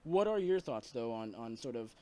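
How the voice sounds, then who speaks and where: A man's voice: mid-range voice, very animated, normal speed, dark tone. A man interviewing a fighter, asking long run-on questions.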